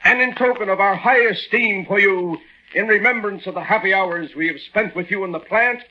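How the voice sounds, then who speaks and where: A man's voice: high-pitched, a little hiss or hum. A man playing a pompous, flowery factory boss making a grand speech in a 1940s radio drama. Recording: dull vintage 1940s radio recording.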